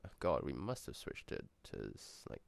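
A man's voice: extremely low pitch, big pitch swings, moderate pace, gravelly. A young man from New Zealand with a New Zealand accent, chatting casually and rambling through a vlog with self-corrections.

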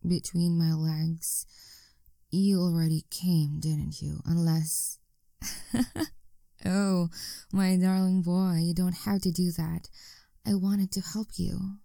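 Young woman, gentle coaxing whisper, close mic, low mellow tone, slow unhurried delivery. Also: fairly even intonation, gravelly, dry recording. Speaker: audio roleplay performer. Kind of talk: intimate whispered audio roleplay.

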